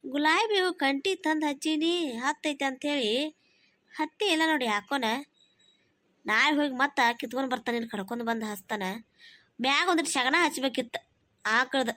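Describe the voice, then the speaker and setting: Chatty village mother-in-law woman performer for cartoon comedy: sharp high voice, dry sound, fretful, North Karnataka accent. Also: normal speed, pauses often, no echo. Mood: fretful, busy.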